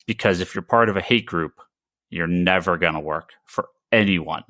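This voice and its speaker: A man's voice: low voice, normal speed, bright, forceful tone, no echo, studio quality. An American man hosting a casual podcast discussion, giving opinions emphatically and stammering over repeated words. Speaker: podcast host; comic shop retailer.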